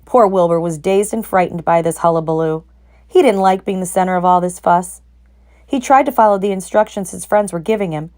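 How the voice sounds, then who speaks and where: A woman narrating a children's storybook aloud in an expressive reading style. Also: medium pitch. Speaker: narrator, read-aloud reader.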